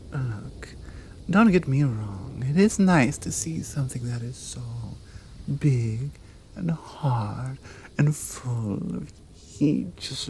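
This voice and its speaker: Casting: female performer for commanding, flirtatious British monster woman, ASMR roleplay. Unhurried, mellow delivery with teasing whispered drops. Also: very deep voice, vocal fry, slight background noise, full character voice. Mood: teasing, insistent. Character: seductive, commanding horned fanged monster woman. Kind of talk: intimate ASMR roleplay acting.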